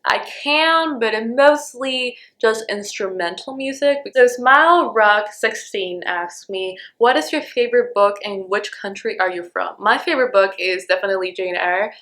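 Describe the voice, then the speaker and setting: A woman's voice: mid-range voice, moderate pace, hardly any pauses, a bit bright. A young woman from Honduras whose first language is Spanish, talking casually to her viewers. She is chatty and loose, filling her sentences with "like" and "um" as she answers questions. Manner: chatty, lots of "like" and "um". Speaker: book vlogger. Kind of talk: casual Q&A vlog.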